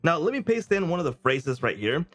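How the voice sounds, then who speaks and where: A man in his thirties giving a casual, conversational software demo and checking in with the listener. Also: a little high, big pitch swings, fairly quick. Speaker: presenter of software review videos. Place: small indoor home studio. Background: background music.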